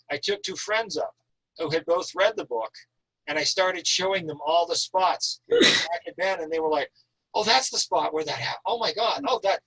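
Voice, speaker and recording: A man with a somewhat high voice talks in a casual, enthusiastic style. He is effusive and wordy, stressing his points like an author keen to share his work. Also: creaky, sharp tone, slightly rough, no echo, rough recording. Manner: effusive, talkative, emphatic. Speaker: author, guest at an online reading. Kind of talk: casual enthusiastic chat about writing.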